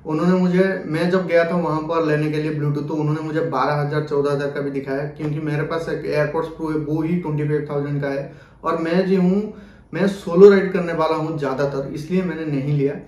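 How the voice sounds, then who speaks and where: Man, young adult, fairly high voice, casual vlog demo, echoey small room. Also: fairly even intonation, brisk, a little mellow. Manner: repetitive filler-laden explaining, mixing in English words. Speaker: product review vlogger. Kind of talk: casual unboxing and demonstration to camera. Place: small bedroom, indoors. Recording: roomy echo.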